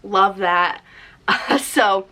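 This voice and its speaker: A woman with a faintly raspy, flu-roughened voice chats casually about books, rambling and correcting herself as she goes. She sounds bubbly and at ease, seated in front of her camera, and her pitch sits right in the middle for a woman. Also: drawn-out words, pushed and bright, slight background noise, low-quality recording. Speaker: book vlogger. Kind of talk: casual chatty book recommendations. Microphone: desk mic or camera, seated in chair.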